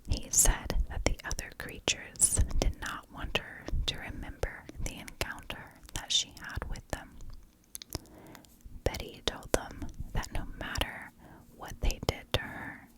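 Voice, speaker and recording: A woman narrating a story as an ASMR host, whispering in short, deliberate phrases. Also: low-quality recording.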